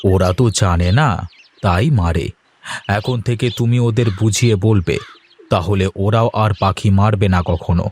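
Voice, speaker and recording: A man's voice: on the low side, a little slow, darkish tone, slight background noise, natural voice. A man voicing an admonishing, plain-spoken village father in a cartoon. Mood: gently instructing. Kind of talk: cartoon dialogue acting.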